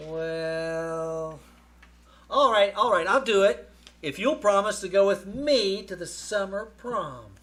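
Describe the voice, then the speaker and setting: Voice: mid-range voice, lively intonation, slow, fairly bright tone. An actor playing a gullible teenage boy, eager to please yet reluctant, in old-time radio comedy style. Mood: resigned. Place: live on a small theater stage.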